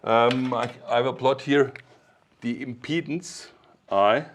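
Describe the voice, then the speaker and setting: A man with a mid-pitched voice speaks as a technical expert explaining things informally. His phrasing is halting, built up step by step in short pieces.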